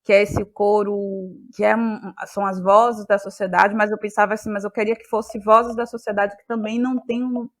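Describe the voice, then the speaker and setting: A woman's voice: mid-range voice, little pitch movement, normal speed, few pauses. Bahian woman, reflective interview guest. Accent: Brazilian, Bahian. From Bahia, Brazil. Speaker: guest, playwright and actress. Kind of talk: conversational interview answers.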